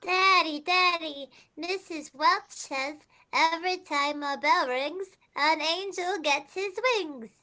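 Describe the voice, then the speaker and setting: A performer playing an excited, adoring little girl in a 1940s radio play. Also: very high-pitched, slow pace, few pauses, exaggerated voice.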